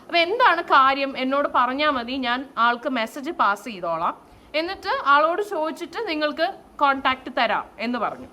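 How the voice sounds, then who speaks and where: A woman telling a story in a casual, animated vlog style, mixing in English phrases. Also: sits high, normal speed. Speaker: vlogger and saree store owner. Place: at home, indoors. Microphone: no external microphone, camera's own mic. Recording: faint noise, no microphone.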